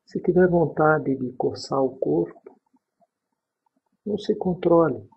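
A man with a Brazilian accent leading a guided sleep meditation, calm and unhurried, pausing between short phrases in a husky, breathy voice. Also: a little high. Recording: dull, muffled lo-fi recording.

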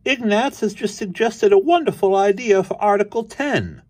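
A man's voice: sits high, moderate pace, a little mellow, pitched above the performer's own voice. A man voicing a naive, cheerful, questioning cartoon cat in a comic strip read aloud. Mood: eager.

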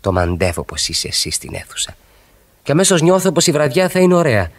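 An ardent, swooping middle-aged flatterer. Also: male voice, fairly high pitch. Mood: amorous, ardent. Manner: ardent, theatrical, repeats phrases for effect. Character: smitten married man, effusively flattering his female friend. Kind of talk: radio drama acting.

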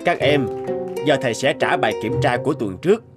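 A man voicing a stern, proud, mustached schoolteacher in an animated story, talking in a firm, lecturing way. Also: mid-range voice, fairly quick, lightly acted. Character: stern mustached male teacher, competitive, proud. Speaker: teacher character. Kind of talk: animated fairy-tale dubbing. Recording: music bed behind voice.

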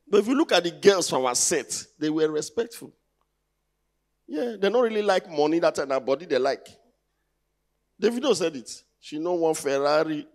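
A man's voice: on the high side, expressive, moderate pace, darkish tone. A man in his thirties with a Nigerian accent doing conversational, teasing stand-up comedy on stage, putting rhetorical questions to the crowd through a handheld mic. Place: large indoor theatre stage. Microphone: handheld stage microphone.

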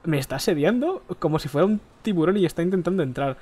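A man's voice: fairly high pitch, fast talker, husky. Rambling Spanish gamer man, throaty. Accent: Spain Spanish. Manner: rambling, crude, excitable. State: keeps clearing his throat. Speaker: gaming streamer. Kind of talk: casual gameplay chat. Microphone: headset mic close to the face.

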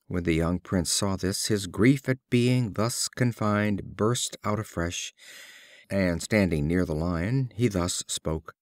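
A man reading fables as scripted storybook narration, in a measured, expressive storyteller's delivery. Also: deep voice, no echo, clean studio sound. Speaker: audiobook reader.

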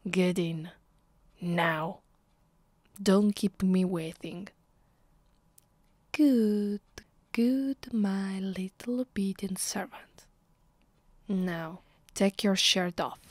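Woman acting a sadistic female vampire, Italian accent, ASMR roleplay, unhurried, commanding, breathy half-whisper, close and dry. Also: medium pitch, no echo. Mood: teasing, commanding. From Italy. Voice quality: soft, breathy, often dropping to a whisper. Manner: slow, deliberate, commanding. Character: haughty, sadistic female vampire mistress savoring her power. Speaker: voice actress. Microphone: close microphone.

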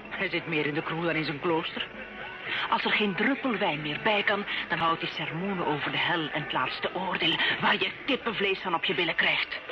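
A woman's voice: fairly low pitch, lightly acted. Brash, mocking tavern prostitute for period radio play, female performer; flirtatious, noisy vintage sound. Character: brash, teasing tavern prostitute in medieval Rouen. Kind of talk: old radio drama acting. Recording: dull, noisy old radio recording.

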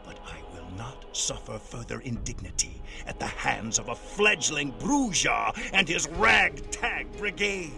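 A raging, haughty vampire lord in a fantasy game, with slow, theatrical delivery. The high voice leaps about in grandiose archaic threats, with music playing behind it. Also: male voice, big pitch swings, creaky. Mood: enraged, bitter. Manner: grandiose, menacing, bombastic.

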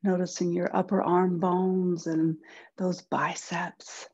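A woman leading a guided body-scan meditation in a soft, breathy voice, gentle and soothing, with unhurried pauses. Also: fairly low pitch, little pitch movement, muffled recording. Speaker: guided relaxation instructor.

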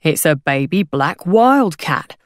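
A woman voicing a grinning male hawker for an audiobook, slick and taunting, with loud wheedling sales patter. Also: sits low, sing-song, slow, no room sound, studio quality, full character voice. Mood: pushy. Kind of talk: audiobook character voice.

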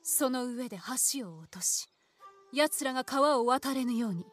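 A woman's voice: high-pitched, lively intonation, normal speed, faint music behind. An actress playing a composed, dignified village lady in a samurai-era game, speaking formal, courtly, archaic language. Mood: grave, resolute. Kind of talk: formal period-drama game voice acting.

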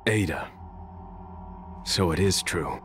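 An actor playing a tough, defiant, wisecracking young American agent in a video game. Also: male voice, deep voice, slow pace, a bit bright, a little hiss or hum. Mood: questioning. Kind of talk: video game action hero acting.

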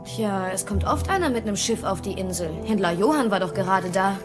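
A confident young Viking warrior played by a woman in an animated audio drama. She sounds assured and tough, with music clearly under her voice. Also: medium pitch, fairly quick, natural voice. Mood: matter-of-fact.

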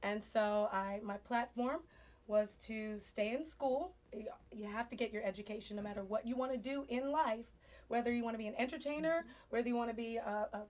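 A woman with a hoarse voice from laryngitis, a guest on a studio talk show telling her life story with lively, frequent laughter. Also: fairly high pitch. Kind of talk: casual talk show interview. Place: large television studio set.

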